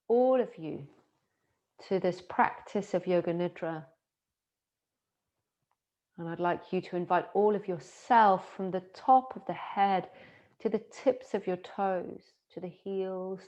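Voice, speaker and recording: A woman with a Scottish accent, a yoga teacher softly and slowly guiding a yoga nidra relaxation with long pauses. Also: fairly low pitch, muffled recording. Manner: soft, slow and soothing, with long pauses. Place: her home in the city. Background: singing bowls and chimes.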